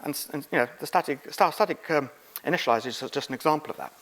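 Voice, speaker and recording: A middle-aged man speaking as a conference presenter, giving an informal, halting technical lecture. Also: on the high side, fairly bright tone, poor audio. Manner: informal, halting, quizzing the audience. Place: conference room, on stage.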